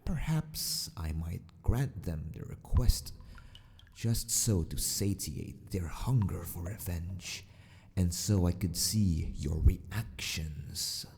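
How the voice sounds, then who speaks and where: A man acting a sadistic, possessive mad scientist in an ASMR roleplay, speaking with formal, mock-polite theatricality and often slipping toward a breathy whisper. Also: low-pitched, slow pace, a little mellow, full character voice. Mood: sadistic, gloating. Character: sadistic, possessive male mad scientist of an empire, mockingly courteous. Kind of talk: ASMR villain roleplay monologue.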